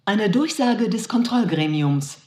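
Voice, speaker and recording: A woman's voice: mid-range voice, animated, unhurried, slight background noise, natural voice. A woman playing a machine's formal, impersonal announcement voice in a radio play. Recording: echoey, with loud music behind.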